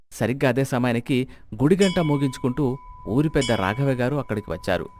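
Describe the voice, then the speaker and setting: A man's voice: medium pitch, a little mellow. A man narrating a scripted moral story as its storyteller.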